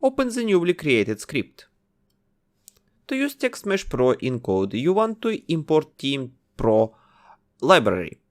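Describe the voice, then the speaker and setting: Male tutorial narrator, methodical and instructive, with a lively, varied pitch. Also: slow pace. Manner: methodical, instructive, explains each step. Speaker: tutorial host. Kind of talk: step-by-step software tutorial narration.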